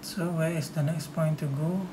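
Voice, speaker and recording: A man's voice: a little high, fairly even intonation, normal speed, background noise. A man giving casual game walkthrough commentary, murmuring brief thoughts to himself. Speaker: gamer. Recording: loud game music over the commentary.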